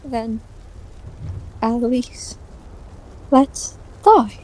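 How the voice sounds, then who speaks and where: A woman playing a bitter, grief-stricken young nobleman with a halting, intense delivery in an audio drama. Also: fairly high pitch, darkish tone, rough recording. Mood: despairing, pleading.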